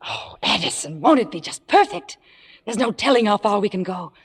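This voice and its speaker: An actress playing an ambitious, scheming young stage star in an old radio drama, speaking theatrically with a poised surface. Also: female voice, mid-range voice, lively intonation, moderate pace, slightly rough, in a large hall. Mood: elated, confiding. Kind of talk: 1950s radio drama acting.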